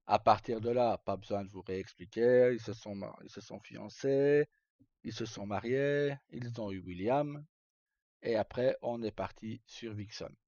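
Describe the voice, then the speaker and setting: A man talks in a soft-edged, mellow voice at a middling pitch. He recounts stories casually, rambling and hesitating in colloquial speech, as a streamer would. The recording is dull and carries noticeable room echo. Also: measured pace. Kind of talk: casual live chat, recounting roleplay stories.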